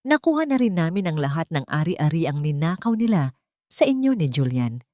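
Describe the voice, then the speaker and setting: A woman's voice: deep voice, very animated, a little fast, dark tone, no echo, clean studio sound. A woman voicing a loyal, earnest male police friend in audiobook dialogue.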